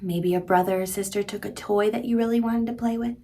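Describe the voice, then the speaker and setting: A woman's voice: medium pitch, fairly even intonation, fairly quick, dark tone. A woman in her thirties reading a picture book aloud to young children, speaking expressively in simple teacherly phrases and addressing the child directly.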